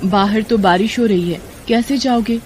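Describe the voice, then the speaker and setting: A woman's voice: fairly high pitch, moderate pace, darkish tone, slight background noise, natural voice. A woman voicing a poor, anxious pregnant village wife in pain, pleading in a moral-story cartoon. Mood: worried. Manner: pleading, worried.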